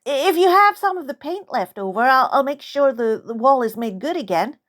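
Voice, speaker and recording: A woman's voice: high voice, expressive, a little fast, fairly bright tone, pitched above the performer's own voice. A woman voicing a contrite young American boy in an audiobook, speaking with hesitant, stammering apologies. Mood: sheepish, apologetic. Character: contrite young American boy, recently bereaved, eager to make amends. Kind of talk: first-person audiobook character dialogue. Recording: music under the voice.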